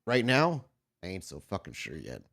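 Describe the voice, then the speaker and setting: Casting: man as cornered anarchist vampire rebel, lowish voice, swearing and snarling defiance, for a role-playing game. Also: huge pitch swings, normal speed, gravelly, a bit bright, full character voice. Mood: bitter, defiant. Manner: profane, snarling street-tough talk. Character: defiant, foul-mouthed young-blooded male anarchist vampire captive.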